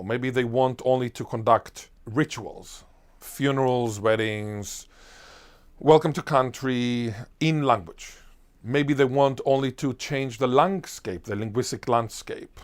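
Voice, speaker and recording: A man, a Hebrew speaker, answering as a linguist guest in an interview, emphatic and animated, full of lists and rhetorical questions. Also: medium pitch, slow pace. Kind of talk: enthusiastic explanatory interview answer.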